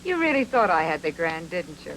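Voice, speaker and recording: An actress playing a self-possessed, teasing noir femme fatale in a radio drama, with sly, clipped banter. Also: female voice, low-pitched, big pitch swings, a little fast, fairly bright tone, slight background noise, rough recording. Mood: sly, mocking.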